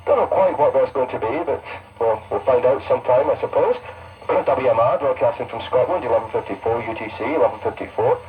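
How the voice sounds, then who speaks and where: A man's voice: medium pitch, a little fast, recorded from a distance. Tinny shortwave radio man, casual presenter. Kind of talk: casual radio announcing from notes. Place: pirate radio station broadcasting from Scotland.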